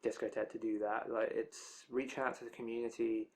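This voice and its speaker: A young man rambles through an anime news roundup, his voice tired and worn out from talking so long. He keeps it loose and chatty, drifting into side remarks at an ordinary, mid-range pitch. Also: little pitch movement, a little fast, low-quality recording. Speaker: anime release news vlogger.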